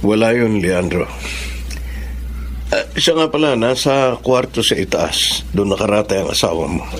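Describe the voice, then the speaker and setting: A man's voice: lowish voice, lively intonation, moderate pace. An actor playing a helpful, grave male friend in a Filipino radio drama.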